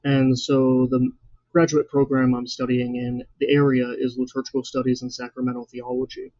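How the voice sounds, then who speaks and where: A young man in his twenties, hosting his own channel, introducing himself in an earnest, measured way with frequent fillers. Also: medium pitch, level tone, dark tone, dry recording, highs cut off. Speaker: channel host. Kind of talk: scripted personal introduction.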